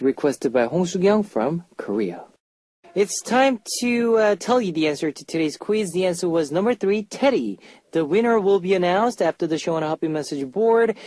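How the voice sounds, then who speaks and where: Higher-pitched male radio host, dry sound. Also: darkish tone, no echo. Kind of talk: casual chatty music radio hosting with listener messages.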